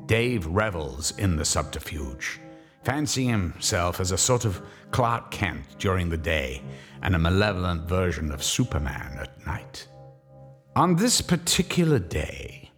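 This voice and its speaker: Male horror narrator: low-voiced, slow, grave and theatrical, for scripted radio drama. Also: very animated, faint music behind. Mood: ominous storytelling. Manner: measured, theatrical.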